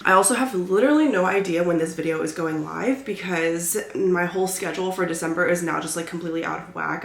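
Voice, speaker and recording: A woman's voice: sits low, a bit bright. A young woman chatting casually in a vlog, congested as she gets over a cold, rambling and peppering her talk with "like". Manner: rambling, frequent use of "like". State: recovering from illness, stuffy. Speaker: lifestyle vlogger. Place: indoors at home. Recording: roomy echo with clear background noise.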